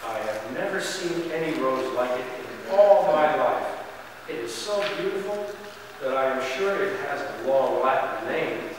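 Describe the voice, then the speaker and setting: A man's voice: mid-range voice, expressive, unhurried, close to an everyday voice. A man playing an earnest, pedantic young student, declaiming in an amateur dramatic reading. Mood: delighted. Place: large church, distant camera mic. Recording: echoey, noisy hall from far off.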